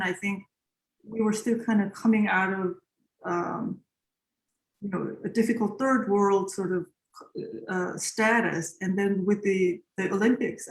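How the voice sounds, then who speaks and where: A woman's voice: medium pitch, reverberant, low-quality recording. Reflective Korean-born woman composer. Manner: reflective, with many fillers and restarts. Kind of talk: interview answer.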